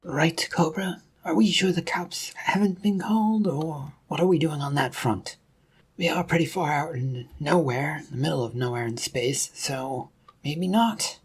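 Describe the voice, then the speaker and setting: A narrator acting out a wary detective in a space mystery story. The voice is husky, and the manner is measured and questioning, as if weighing every suspect. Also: mid-range voice.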